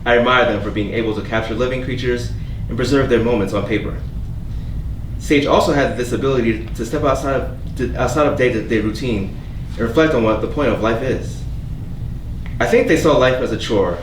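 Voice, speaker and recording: A kind, quietly wounded optimist acted by a man in a staged play reading, sincere and a little halting in a medium-pitched voice. He is heard from across a reverberant room with faint background noise. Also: lightly acted. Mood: heartfelt, wistful. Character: gentle, earnest, hopeful stranger named Avery, quietly wounded. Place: theatre staged reading, recorded from a distance. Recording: echoey room, distant mic, background noise.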